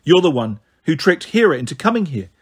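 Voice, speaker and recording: Male narrator as teenage hero boy, high for a man, defiant, forceful, demanding, fantasy storybook. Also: very animated, a little fast, breathy edge. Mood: accusing.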